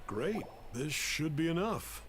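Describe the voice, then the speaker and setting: A man's voice: a little high, very animated, slow pace, a bit bright, exaggerated voice. A man voicing a friendly, helpful young cook in a video game, earnest and easygoing. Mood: obliging. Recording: game music behind.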